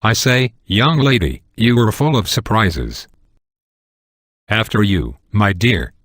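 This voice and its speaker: A courtly, flustered gentleman from a video game. His low voice swings widely in pitch, theatrical and mannered, in a dry close recording. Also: lively intonation, slow pace, husky, no room sound, heavily acted. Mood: gallant, flustered. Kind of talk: scripted game voice lines.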